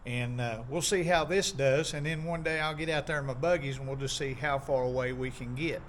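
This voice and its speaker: A man hosting a casual unboxing video, talking in a relaxed, folksy way with plenty of pauses. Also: medium pitch, slight background noise. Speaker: video host doing an unboxing. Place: outdoors in a park.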